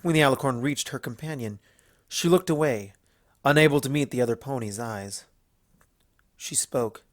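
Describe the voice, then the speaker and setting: A man's voice: medium pitch, normal speed, pauses often, dry recording. Man narrating a story, dry sound.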